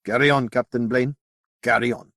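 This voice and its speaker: A man's voice: medium pitch, fairly even intonation, normal speed, a bit bright, no echo, clean studio sound. A man voicing a stern, commanding admiral of a space navy, speaking formally in an audiobook. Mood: resigned.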